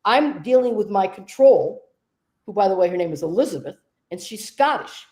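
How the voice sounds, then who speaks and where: A woman hosting a conversation, a medium telling stories in a relaxed, discursive way. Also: mid-range voice, normal speed. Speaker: host and trance medium. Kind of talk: casual conversation.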